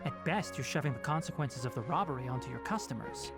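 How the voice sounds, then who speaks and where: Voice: medium pitch, lively intonation, fast talker, natural voice. An actor playing a blunt, impatient companion character in a video game, asking pointed questions. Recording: music behind the voice.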